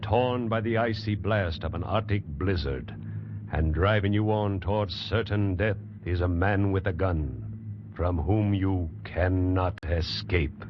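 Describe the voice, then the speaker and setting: A man's voice: sits low, measured pace, background noise. A man announcing a radio drama in an intense, declamatory 1940s style. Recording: dull old radio recording, loud music. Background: loud music.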